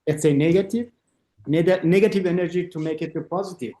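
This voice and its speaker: A middle-aged man, an architect being interviewed, speaking halting non-native English with many restarts and acting out the voices in his stories. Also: fairly high pitch, mellow, roomy sound. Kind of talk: conversational interview. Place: lives in Austria.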